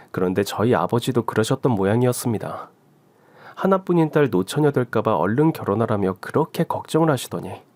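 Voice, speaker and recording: A man narrating a woman's first-person story in an expressive storytelling style. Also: medium pitch, dry recording. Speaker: story reader. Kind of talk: storytelling radio drama narration.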